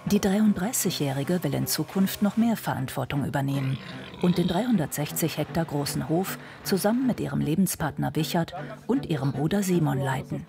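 A woman narrates a television news feature in a steady, measured voice that sits a little low for a woman. A clear music bed plays underneath her reading. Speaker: reporter.

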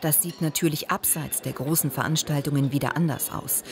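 A woman reading a documentary voice-over in a calm, even way, with some lines voicing over an interview subject. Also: sits low, fairly quick, slight background noise. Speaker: documentary narrator. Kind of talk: scripted voice-over narration. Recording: voice-over with music bed.

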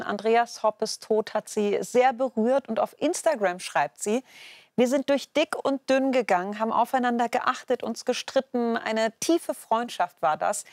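A woman's voice: a little high, dry recording, studio quality. A woman presenting scripted celebrity news on a television magazine show.

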